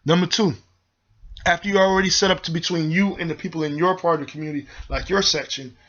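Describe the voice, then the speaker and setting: Fast-talking, forceful man ranting. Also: on the high side, fairly even intonation. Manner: heated, preachy, repetitive, addresses viewers as y'all. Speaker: video host. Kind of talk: impassioned vlog rant to viewers. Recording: dull lo-fi sound.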